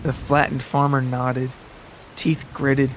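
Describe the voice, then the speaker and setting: A man's voice: mid-range voice, level tone, slow, a little hiss or hum. A man hosting and narrating his own scripted storytelling podcast. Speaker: podcast writer, narrator and host. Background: guitar music and rain.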